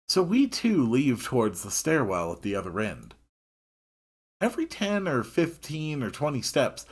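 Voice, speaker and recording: A man reading a visual novel aloud as host, with theatrical, expressive first-person story narration. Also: medium pitch, husky.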